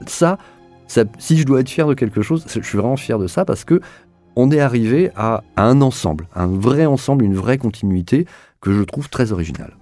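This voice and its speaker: A man's voice: medium pitch, animated, fairly quick, warm tone, faint music behind. A man being interviewed as an audio drama director, answering spontaneously in an earnest, searching way, often repeating words as he feels for the next one.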